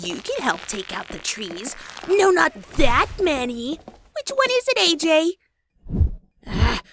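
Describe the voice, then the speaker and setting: A woman's voice: on the high side, noisy background. A woman voicing a brash young tomboy pegasus mare in a cartoon comic dub, cocky but shaken.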